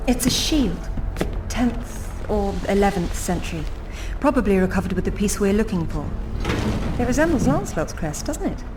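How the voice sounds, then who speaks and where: A woman's voice: medium pitch, big pitch swings, normal speed, natural voice. A woman playing a poised, witty British adventurer in a video game, composed and articulate with dry delivery. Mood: curious, calm. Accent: British English. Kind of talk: video game cutscene acting. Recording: music behind the voice.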